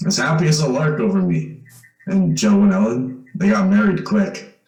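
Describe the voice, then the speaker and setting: Earnest kid-like young guy played by a man, old radio suspense drama, creaky voice, roomy echo. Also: fairly high pitch, big pitch swings, normal speed, darkish tone. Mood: sheepish, relieved. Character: earnest boyish young man who trapped his would-be killer. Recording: roomy, echoing amateur radio play.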